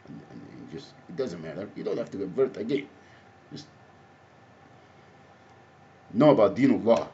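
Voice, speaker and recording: Man, low voice, Egyptian Arabic accent, swinging intonation, halting unscripted monologue, repetitive. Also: big pitch swings, dark tone. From Alexandria, Egypt. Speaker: activist, self-described advocate. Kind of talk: impromptu spoken monologue to camera. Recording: muffled audio with faint background noise.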